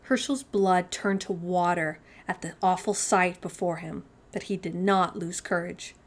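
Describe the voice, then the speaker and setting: Slow-paced woman reading a storybook. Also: lowish voice. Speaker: children's storytime host. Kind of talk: dramatic storybook read-aloud for young children.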